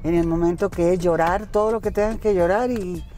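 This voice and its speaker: A woman in her fifties with a Colombian accent tells her story from the passenger seat of a moving car. She speaks candidly and at a steady, warm pace. Faint music plays under the conversation. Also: fairly low pitch, brisk. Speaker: singer. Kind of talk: casual interview chat. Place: inside a car. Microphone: car-mounted camera.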